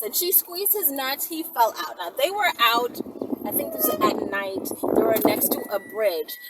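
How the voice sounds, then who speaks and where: A woman chatting casually as a storytelling vlogger, with slangy, emphatic phrasing and lots of repetition for effect. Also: high voice. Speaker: vlogger telling stories to followers. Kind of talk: casual storytelling vlog chat. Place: out and about, on the move in town. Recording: distant mic, noisy vehicle ambience.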